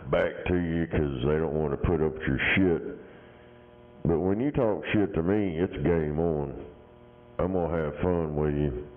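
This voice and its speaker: A man with a deep voice talking crude, combative, mocking banter over a CB radio. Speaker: CB radio talker. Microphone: radio handset. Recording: tinny CB radio transmission.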